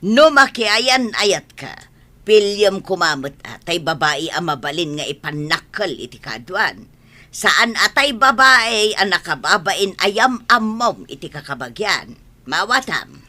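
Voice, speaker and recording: A woman's voice: on the low side, animated, a little slow, pushed and bright. A woman acting a strict, questioning middle-aged mother in an audio drama, with an Ilocano accent. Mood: stern. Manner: stern, questioning, emphatic.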